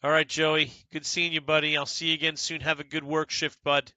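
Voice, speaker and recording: A man's voice: a little high, completely flat delivery, a little fast, sharp tone, no room sound. A man streaming a golf video game, chatting casually with his viewers and talking to them by name. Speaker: gaming streamer. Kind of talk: casual commentary over gameplay.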